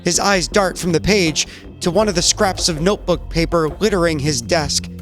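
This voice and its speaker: Higher-voiced male narrator over music. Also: normal speed, fairly bright tone. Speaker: narrator and player. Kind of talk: cinematic scripted narration.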